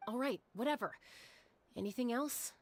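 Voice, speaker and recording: A woman's voice: fairly high pitch, moderate pace, slight background noise, close to an everyday voice. A woman playing a stubborn, cool-headed older sister in a video game, speaking in a dry, self-assured way. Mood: defiant.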